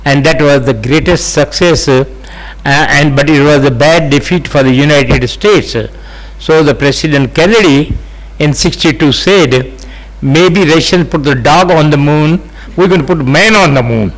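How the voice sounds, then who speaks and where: A man in his eighties, native Sindhi speaker with an Indian accent, telling his life story as an interview guest, halting with many fillers and gentle chuckles. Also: fairly high pitch, poor audio. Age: 80s.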